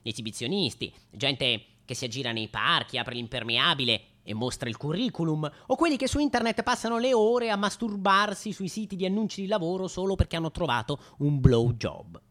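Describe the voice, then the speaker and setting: A man's voice: on the high side, animated, brisk, hardly any pauses, a bit bright. A man performing a scripted satirical comedy monologue in a mock-serious, theatrical, emphatic way.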